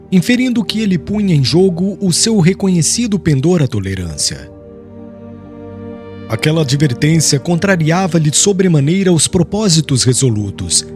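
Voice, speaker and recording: A man narrating an audiobook in a formal, literary and dramatic scripted style. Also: fairly high pitch. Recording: soft music underneath.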